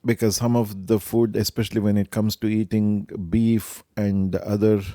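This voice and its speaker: A middle-aged man, answering family interview questions in a measured, reflective way. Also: lowish voice, little pitch movement, warm tone, no room sound, clean studio sound. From India. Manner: measured, reflective, some hesitations. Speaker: interviewee, stepfather.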